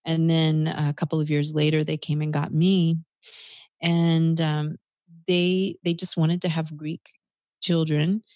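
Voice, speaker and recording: Woman, American-raised, low register, reflective conversational storyteller for an interview, dry studio-like sound. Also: little pitch movement, slow, darkish tone, dry recording. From United States, South Carolina. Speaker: interview guest.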